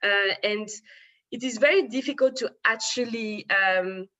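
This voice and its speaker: Bright-toned woman presenting hesitantly online. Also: on the high side, a little slow, lo-fi sound. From Congo, grew up in France. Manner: hesitant, many filler sounds and restarts. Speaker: webinar presenter, impact investor.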